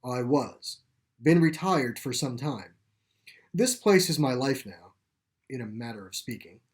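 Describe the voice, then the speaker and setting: A man's voice: mid-range voice, animated, close to an everyday voice. Man voicing a courteous middle-aged sanctuary caretaker. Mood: genial. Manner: courteous, unhurried, reassuring, quaintly formal. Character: genial middle-aged male sanctuary caretaker, retired veterinarian.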